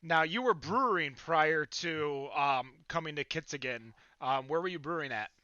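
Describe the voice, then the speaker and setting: A man's voice: a little high, moderate pace, pushed and bright, clean tone, no room sound, dull audio. A man hosting a podcast over a video call, upbeat and rambling with fillers. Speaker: podcast host.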